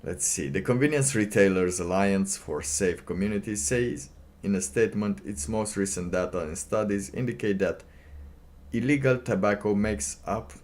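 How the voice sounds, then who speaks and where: A man doing casual livestream commentary, reading aloud and reacting in a halting, sardonic way, often repeating his phrases. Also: lowish voice, darkish tone. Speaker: livestream host.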